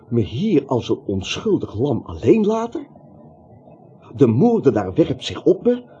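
A man's voice: medium pitch, mellow, husky, heavily acted. A male actor playing an anxious, timid little man in a radio play, jittery, rambling and stammering. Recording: dull, slightly noisy radio recording.

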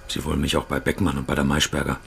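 A brusque author under suspicion in a naturalistic radio drama. His voice is low, and he talks in a curt, defensive, dry way. The sound is roomy, with clear ambient noise around him. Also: completely flat delivery, rapid-fire. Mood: terse.

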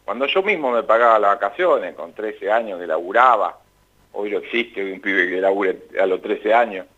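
A man's voice: fairly low pitch, a bit bright, dull audio. A middle-aged Argentine man with an Argentine accent, a radio guest talking in a reflective, rambling way and laughing often. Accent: Argentine Spanish. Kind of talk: casual radio interview.

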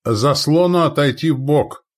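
A man voicing an artillery commander who shouts commands in the heat of battle in an audiobook. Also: a little high, measured pace, voice pushed up, lightly acted. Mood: commanding roar.

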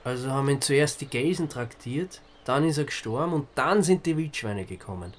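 An actor playing a laconic, laid-back amateur sleuth in a radio drama, terse and hesitant. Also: male voice, mid-range voice, animated, normal speed, natural voice. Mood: dawning dismay.

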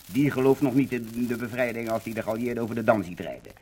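An actor playing a hopeful but grumbling older Dutch husband in wartime, earnest, in 1950s radio drama style. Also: male voice, mid-range voice, fast talker, darkish tone, lightly acted. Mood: earnest, defensive. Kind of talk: 1950s radio docudrama acting. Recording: old 1950 radio recording, faint hiss.

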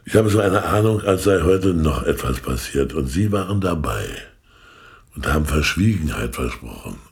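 An actor playing a shrewd, all-knowing informant in a radio play, lecturing in a pedantic, sardonic way. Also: male voice, extremely low pitch, sing-song, moderate pace, gravelly, exaggerated voice. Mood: reproving. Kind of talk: German radio play acting.

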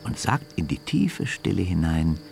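A man's voice: deep voice, big pitch swings, unhurried, vocal fry, darkish tone, a little hiss or hum. A man reading scripted storybook narration for a children's radio play. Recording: music under the voice. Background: music.

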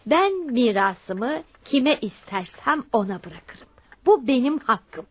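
An actress in a radio play playing a wealthy elderly aunt, stubborn, haughty and firm. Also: female voice, a little high, big pitch swings, slow pace, breathy edge, full character voice. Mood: stern, resolute. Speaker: radio drama actor. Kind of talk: Turkish radio theatre acting.

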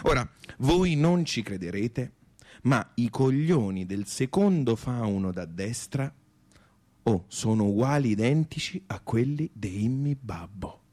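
An actor playing a pompous, bumbling guide in a radio play, addressing listeners with digressions and tag questions. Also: male voice, medium pitch, expressive, a little slow, warm tone. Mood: pompous, droll. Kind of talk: mock guided-tour radio monologue.

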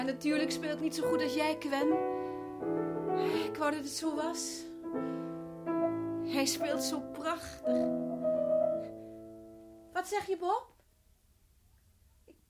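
A scared fragile woman losing it in a radio play, super slow n breathy, high voice. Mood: tender, wistful. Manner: halting, repetitive, often breathy and whispering. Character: fragile, terrified mother slipping into hallucinating madness. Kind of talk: radio horror drama acting. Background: piano music.

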